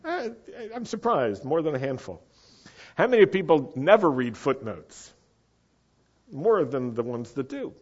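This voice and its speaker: A man's voice: fairly high pitch, very animated, a little slow, slightly rough. A man giving a teaching sermon to a live congregation, sometimes putting questions to the audience. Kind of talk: Torah-portion sermon. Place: meeting room with a live congregation. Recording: muffled lo-fi recording.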